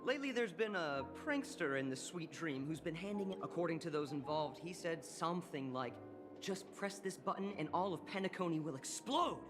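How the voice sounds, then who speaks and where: A man's voice: on the high side, expressive, brisk, natural voice. A man voicing a polite, officious hotel staffer in a video game, formal and courteous, hesitant when pressed. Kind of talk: video game character dialogue. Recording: game audio with background music.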